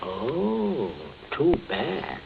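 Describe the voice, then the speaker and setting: A man's voice: on the high side, big pitch swings, extremely slow, creaky, heavily acted. An actor playing a cold, scheming middle-aged father in a radio suspense drama, clipped when commanding and jovial on the surface. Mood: falsely jovial. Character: cold, domineering, scheming father of a genteel household. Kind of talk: 1940s radio suspense acting. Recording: muffled, hissy old radio broadcast.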